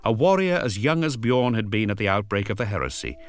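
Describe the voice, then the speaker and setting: A man giving scripted dramatic narration, grave and storytelling, with deliberate pauses. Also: on the low side, animated.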